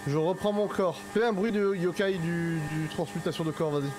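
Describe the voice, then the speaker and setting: A woman's voice: lowish voice, fairly quick, very noisy. A woman improvising casual in-character dialogue in an online roleplay game.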